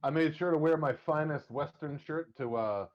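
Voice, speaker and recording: A man's voice: a little high, moderate pace, dull audio. A man hosting a casual chat show, rambling and joking with crude language. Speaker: podcast host.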